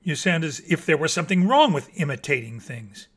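A man's voice: on the high side, animated, unhurried, fairly bright tone. Man acting a pompous, touchy mockingbird. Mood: indignant. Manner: dramatic, pompous, swooping emphasis. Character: vain, touchy, irritable male mockingbird.

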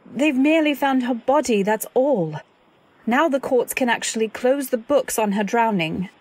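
A woman's voice: on the high side, animated, moderate pace, a little mellow, slightly rough, rough recording. A woman playing an anxious, devoted wife who narrates her story in dramatic old-time radio style. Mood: anxious, pleading. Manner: dramatic, earnest delivery. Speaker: narrator and actor.

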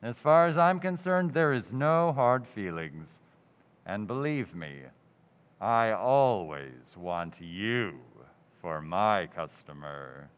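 An actor playing a pompous, smug middle-aged undertaker in a 1940s-style radio comedy, drawn-out and punning. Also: male voice, on the low side, lively intonation, clear, resonant tone. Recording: tinny, reverberant lo-fi audio.

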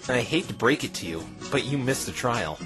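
A man playing an earnest, flustered young defense lawyer in an animated fan dub, hesitant and exasperated. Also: medium pitch, moderate pace, music in the background, lightly acted. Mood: dejected. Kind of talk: fan dub visual novel acting.

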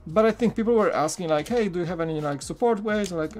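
A man's voice: sits high, fast, a little mellow, faint music behind. A man in his thirties from Poland with a Polish accent, chatting casually on a live stream while building, with lots of fillers and restarts. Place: home studio room.

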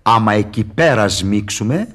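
An actor playing a sly, mocking devil tempter in a theatrical radio verse drama. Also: male voice, on the low side, lively intonation, fairly bright tone, breathy edge. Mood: sly, persuasive.

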